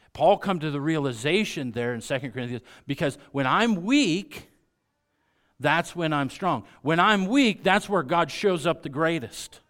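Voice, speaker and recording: Casting: American man as a preacher, medium pitch, delivering a sermon with emphatic repeats and shifts between hushed and raised voice. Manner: emphatic, repeats key phrases, swinging from hushed to loud. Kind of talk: sermon to a congregation.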